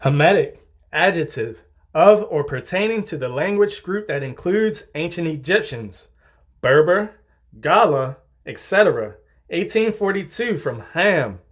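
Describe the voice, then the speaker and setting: Male narrator, fairly high voice, formal and measured, for scripted reference-style reading. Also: lively intonation, fairly bright tone. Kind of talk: scripted narration, reading dictionary and encyclopedia entries.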